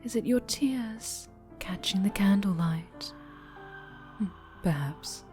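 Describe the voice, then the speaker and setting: A woman playing an obsessive, possessive dark-angel superheroine turned villain in an intimate ASMR roleplay, half-whispering with menacing tenderness. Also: mid-range voice, expressive, slow, faint music behind. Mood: tender, possessive.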